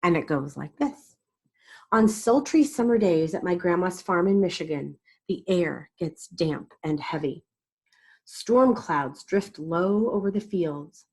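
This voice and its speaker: A woman reading a story aloud to children in an expressive way, with deliberate, dramatic emphasis. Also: on the low side, breathy edge. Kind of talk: expressive read-aloud narration.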